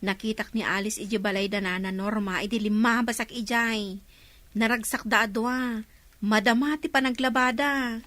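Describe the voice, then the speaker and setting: Woman playing ilocano village gossip, knowing n teasing, sharp bright voice, dry radio drama sound. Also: a little high, fairly even intonation, moderate pace, no echo, lightly acted. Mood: gossipy. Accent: Filipino (Ilocano).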